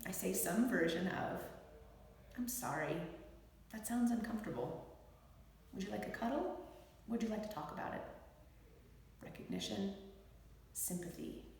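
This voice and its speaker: A middle-aged woman, a physician, reading her own book aloud in an expressive, measured and deliberate way. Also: medium pitch, gravelly, slight background noise. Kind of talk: expressive audiobook-style read-aloud. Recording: very echoey room with long reverb.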